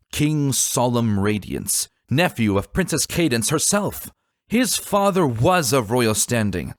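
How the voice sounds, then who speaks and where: Deep-voiced man narrating a dark dramatic tale. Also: no echo, studio quality. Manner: solemn, theatrical delivery. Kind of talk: dramatic scripted storytelling narration. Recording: music behind the voice. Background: background music.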